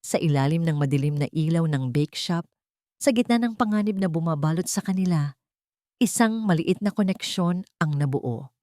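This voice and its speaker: A woman's voice: low voice, lively intonation, normal speed, warm tone, no room sound, clean studio sound. A woman reading dramatic scripted fiction as a story narrator.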